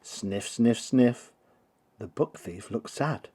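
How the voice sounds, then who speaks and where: A man reading a rhyming children's story aloud with theatrical, rhythmic, expressive delivery. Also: medium pitch, takes it slowly, breathy edge. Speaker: storybook reader.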